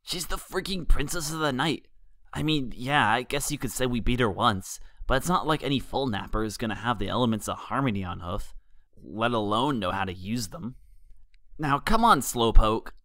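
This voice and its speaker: A man's voice: medium pitch, moderate pace, pushed and bright, dry recording. A man voicing a brash, cocky young female pegasus pony in a fan fiction reading, slangy and playfully jeering. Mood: cocky, teasing. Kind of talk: fan fiction audiobook character voice.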